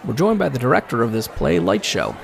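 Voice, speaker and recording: A man's voice: medium pitch, sing-song, a little fast, vocal fry. A man playing a probing entertainment reporter in an audio drama broadcast interview. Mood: smooth, probing. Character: nosy male entertainment TV reporter.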